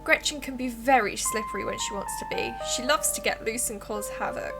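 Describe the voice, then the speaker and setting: A woman's voice: a little high, a little fast, vocal fry, poor audio. A woman playing a friendly, chatty small-town woman with a dog, in a story game. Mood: friendly, curious. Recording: background music behind voice.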